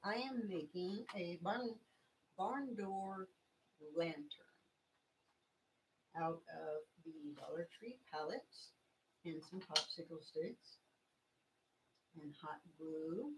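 A woman's voice: lowish voice, takes it slowly, pauses often. A middle-aged woman from Texas with a scratchy voice from being sick, chatting casually as she demonstrates a craft project on a home livestream in a small craft room, filmed by a camera across her worktable. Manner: chatty, rambling, stops to explain each step. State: scratchy voice from illness.

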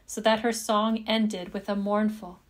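Slow-paced woman telling a fairytale. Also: mid-range voice, little pitch movement. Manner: measured, deliberate storybook phrasing. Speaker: storyteller. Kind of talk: scripted fairytale narration.

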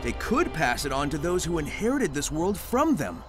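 An actor voicing a breezy, upbeat hedgehog hero in a video game cutscene, casual with slang. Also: male voice, fairly high pitch, big pitch swings, normal speed. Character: cocky fast blue hedgehog hero, upbeat. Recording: game audio with music underneath.

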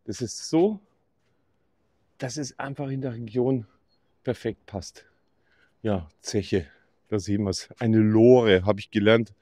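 A man's voice: lowish voice, slow, long pauses, mellow, no echo. A middle-aged man from Bavaria, giving an upbeat, jokey walk-through tour of a campsite while filming himself. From Bavaria, Germany. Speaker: camping vlogger. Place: campsite outdoors and indoor reception office.

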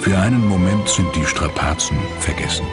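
Male documentary narrator, very low grave voice, suspenseful delivery over loud music. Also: moderate pace. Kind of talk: scripted dramatic documentary narration.

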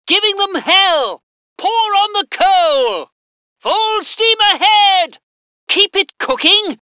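An eager British train crew soldier from a war strategy game, reports in clipped military phrases. The voice is high and forceful, swinging widely in pitch. It sounds dutiful and keen to serve. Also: female voice, lively intonation, slow pace, dry recording, clean studio sound, full character voice. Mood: fired up. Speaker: game voice actor.

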